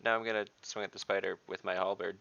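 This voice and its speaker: A man playing an online tabletop game, chatting casually and haltingly as he thinks through his moves. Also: deep voice, flat delivery, vocal fry, sharp tone, no echo, dull audio.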